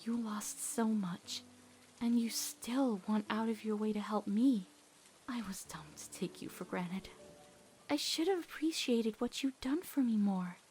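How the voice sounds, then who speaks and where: A woman voicing an earnest, awkward teenage girl in an emotional cartoon comic dub, heartfelt and halting when nervous. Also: mid-range voice, darkish tone, a little hiss or hum, natural voice. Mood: tender, sincere.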